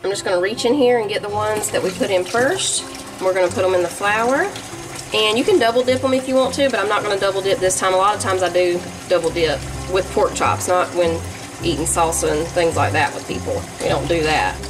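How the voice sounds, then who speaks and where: A woman's voice: medium pitch, a little fast, low-quality recording. A woman with a Southern US accent, chatting casually in a folksy way as she narrates a home cooking vlog. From Southern United States. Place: home kitchen.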